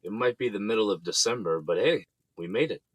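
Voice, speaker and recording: A man playing a teasing, jokey husband and father in an audio drama, with a husky voice. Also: lowish voice, a little fast, dry recording. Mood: playful. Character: playful, teasing middle-aged husband and proud father.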